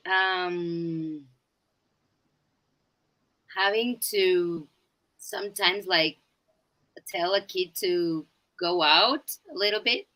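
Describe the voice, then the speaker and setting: Bright-voiced woman educator. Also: lowish voice, drawn-out words, pauses often, rough recording. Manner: gentle, filler-heavy. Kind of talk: casual panel answer.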